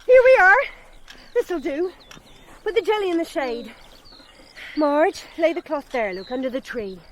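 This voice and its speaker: Excitable middle-aged mother, high voice, chatty and fussing, period countryside radio drama. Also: measured pace, a little hiss or hum. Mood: bustling, bossy. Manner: gushing, scatterbrained, bossy in a motherly way.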